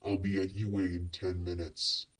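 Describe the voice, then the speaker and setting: A man's voice: deep voice, level tone, moderate pace. A man playing a cold, menacing, possessive father in an acted fan fiction audio drama, icily polite and biting. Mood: calm, friendly menace.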